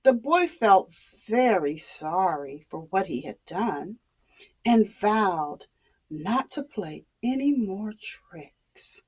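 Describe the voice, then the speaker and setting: A woman's voice: medium pitch, lively intonation, slow, darkish tone, husky. A woman telling a story to young children in a dramatic way, asking questions and repeating things to explain them.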